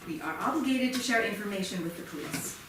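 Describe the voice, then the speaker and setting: A woman in a staged play reading playing a stern, formal high school principal with a measured, admonishing, officious manner. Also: expressive, close to an everyday voice. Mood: formal, cool. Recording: echoey theatre, distant mic, faint noise.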